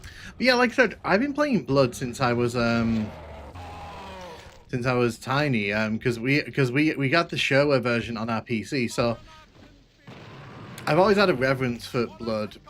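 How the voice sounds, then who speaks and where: A man's voice: mid-range voice, expressive, moderate pace, long pauses, a bit bright, slight background noise. A young man with a northwest English accent, chatting casually and rambling while streaming a game. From northwest England. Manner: chatty, rambling, self-mocking. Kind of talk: casual gameplay commentary.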